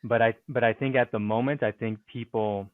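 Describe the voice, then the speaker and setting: A man's voice: mid-range voice, steady tone, fairly quick, no echo. A man working as a speaking and writing coach, answering interview questions in a hesitant way, with many fillers and restarts. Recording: tinny phone-like line.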